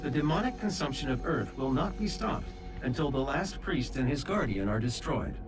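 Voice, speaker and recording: An actor playing a calm, factual artificial intelligence guide in a video game, speaking formally and precisely. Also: male voice, mid-range voice, lightly acted. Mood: composed, businesslike. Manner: measured, formal, informative. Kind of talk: scripted game mission briefing. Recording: distant, under loud music.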